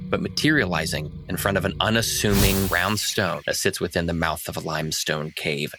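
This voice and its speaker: A man acting as game master, narrating a fantasy tabletop scene in deliberate, descriptive phrases. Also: low-pitched.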